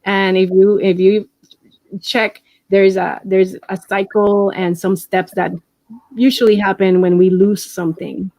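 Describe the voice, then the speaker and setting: A woman in her forties with a Puerto Rican accent speaks at a mid pitch, warm and encouraging. She often restarts and repeats words as she chats. The recording is dry, with no room sound. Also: level tone, unhurried, dry recording. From Puerto Rico. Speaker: interview guest. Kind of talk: casual interview.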